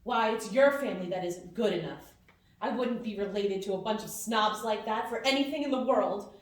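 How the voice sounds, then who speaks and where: A woman's voice: mid-range voice, normal speed, lightly acted. A woman playing a proud, defiant young woman, forthright and indignant, in a live radio play. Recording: echoey hall, far from the mic.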